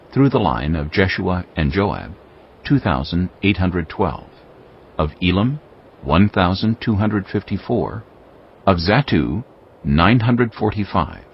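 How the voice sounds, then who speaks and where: Deep, expressive male scripture narrator. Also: lo-fi sound, slight background noise. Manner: measured, formal. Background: music.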